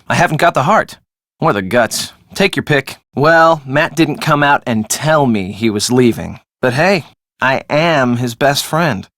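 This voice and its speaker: A man's voice: fairly high pitch, a bit bright, no room sound, clean studio sound. An actor playing a defensive, glib young American man in casual, conversational video game dialogue.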